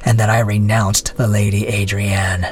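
A man voicing an imprisoned young nobleman who speaks hushed, weary and contemptuous. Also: a little high, moderate pace, pitched above the performer's own voice, close to an everyday voice.

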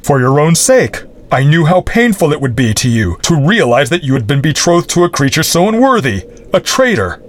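A man's voice: on the high side, very animated, moderate pace, few pauses, pitched above the performer's own voice. A man voicing a jealous, scheming baron who speaks courteously yet with menace. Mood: menacing. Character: scheming, jealous middle-aged male baron.

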